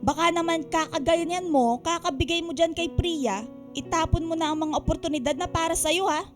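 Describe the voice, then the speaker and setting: A woman's voice: very high voice, moderate pace. A woman playing a stern, resentful middle-aged aunt who scolds and nags in a Filipino radio drama. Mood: stern, scolding. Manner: scolding, nagging. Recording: radio drama with music behind.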